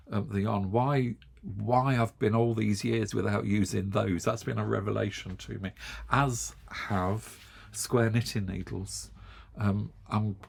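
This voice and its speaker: A middle-aged Englishman with an English accent talks casually to his viewers in a wry, amused tone. His voice sits fairly low and has a gravelly, slightly husky grain. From England. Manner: chatty, wry, chuckles often. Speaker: knitting channel host. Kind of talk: casual chat to camera.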